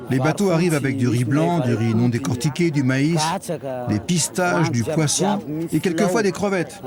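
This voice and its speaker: A man's voice: fairly high pitch, lively intonation, moderate pace. A man interviewed at an outdoor riverside market, plainly listing and explaining what is sold there. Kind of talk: documentary interview. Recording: outdoor market with loud crowd.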